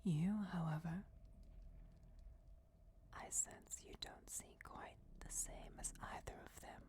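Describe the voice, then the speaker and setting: A woman voicing an ancient, regal and patient vampire deity in a soft, breathy whisper, formal and deliberate, for a fantasy ASMR roleplay. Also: on the low side, steady tone. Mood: solemn, patient. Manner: slow, deliberate, formal, measured. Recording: clear background noise, crypt ambience. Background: crypt ambience.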